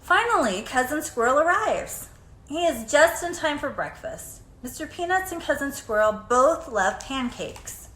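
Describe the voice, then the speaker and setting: Casting: female children's storybook reader, lively intonation and expressive, deliberate delivery, recorded in an echoey room. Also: high-pitched. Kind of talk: children's picture book read-aloud. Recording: echoey room, faint background noise.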